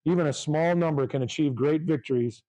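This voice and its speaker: A man preaching a sermon to his congregation, reading scripture aloud in a loud, fervent voice. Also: on the high side, a little fast, poor audio. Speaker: pastor.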